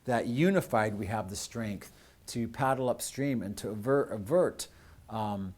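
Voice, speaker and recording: An American man speaking as host of a community show, addressing his audience off the cuff in an earnest, halting way with drawn-out pauses. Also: lowish voice, a little mellow. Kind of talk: unscripted closing address to audience with thanks.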